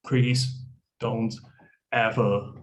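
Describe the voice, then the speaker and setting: A man's voice: mid-range voice, level tone, extremely slow, poor audio. Earnest man from Hong Kong. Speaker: panelist. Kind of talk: prepared talk with slides.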